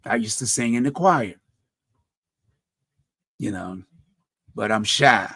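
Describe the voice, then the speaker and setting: A middle-aged man with a deep voice, hosting a community radio talk show and speaking earnestly about faith and helping others, often restarting phrases. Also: takes it slowly, creaky, fairly bright tone, husky, dry recording, clean studio sound. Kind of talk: reflective personal talk.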